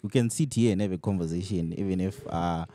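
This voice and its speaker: Deep-voiced host, easygoing. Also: male voice, fast talker, mellow, no echo. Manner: easygoing, affirming with frequent agreement. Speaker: podcast host. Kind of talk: casual conversational interview. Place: lounge venue.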